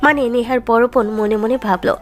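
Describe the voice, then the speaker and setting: Woman narrator with a fairly high voice. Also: steady tone, normal speed. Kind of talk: scripted romantic story narration.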